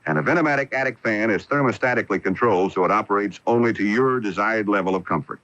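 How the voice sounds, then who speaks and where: A man's voice: fairly low pitch, quick pace, fairly bright tone. A man reading a scripted radio commercial as a spokesman. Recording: muffled AM radio broadcast recording.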